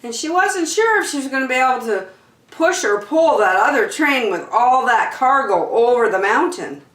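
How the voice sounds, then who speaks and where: A woman's voice: on the high side, animated, moderate pace, fairly bright tone. A woman reading aloud expressively to young children as a storyteller, with emphatic, encouraging phrasing, recorded in an echoey room.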